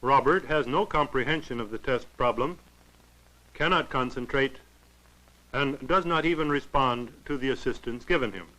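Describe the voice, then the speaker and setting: A man narrating a 1940s educational film in a formal, clinical, measured way. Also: medium pitch, bright, forceful tone, poor audio.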